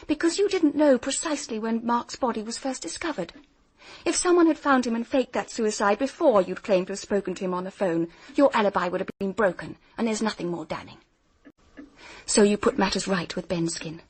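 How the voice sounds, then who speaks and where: An actress playing a determined, earnest young private detective in a radio drama, direct and accusing. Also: female voice, medium pitch, breathy edge.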